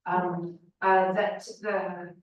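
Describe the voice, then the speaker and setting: A woman's voice: lowish voice, little pitch movement, drawn-out words, muffled recording, slight background noise. A British woman asking a question from the audience, hesitant and searching for her words. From Britain. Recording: echoey hall, distant voice.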